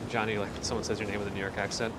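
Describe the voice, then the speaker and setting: A man's voice: on the low side, racing. A man hosting a live walking stream on a city street, chatting casually and answering viewer comments as he walks, recorded on a phone on a handheld gimbal. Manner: relaxed, conversational, reads out and replies to chat messages. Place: outdoors on a city street at sunrise. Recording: loud street noise outdoors. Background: city traffic and street noise.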